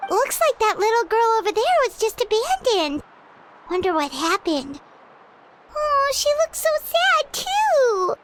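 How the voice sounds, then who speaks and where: A woman voicing a tiny, curious, chatty childlike companion in a video game, squeaky and perky. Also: very animated, a little slow, a bit bright, slight background noise, heavily acted. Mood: curious, concerned. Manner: perky, inquisitive. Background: prominent background music.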